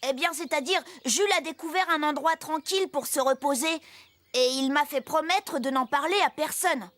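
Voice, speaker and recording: Woman playing a high-voiced, friendly young cartoon tractor. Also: normal speed, a bit bright. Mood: friendly, earnest. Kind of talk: French children's cartoon dub.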